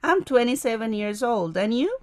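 Friendly commuter, read by a woman, clear textbook delivery. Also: fairly high pitch, sing-song, normal speed. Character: helpful young working woman, twenty-seven.

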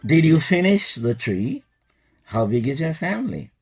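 A man, teaching an English lesson in a slow, patient scripted style. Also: on the high side, big pitch swings, creaky, darkish tone, slightly rough, soft background music. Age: 60s.

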